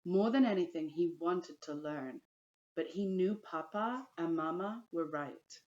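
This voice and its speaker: A woman teacher reading a storybook aloud to young pupils in a deliberate storytelling manner, addressing them directly. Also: lowish voice, darkish tone, muffled recording. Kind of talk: children's storybook read-aloud.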